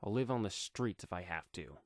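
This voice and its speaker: A man's voice: sits low, expressive, a little fast, a little mellow, no room sound, clean studio sound. A man voicing a stubborn young male vigilante in a superhero audio story, matter-of-fact and resolute. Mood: determined.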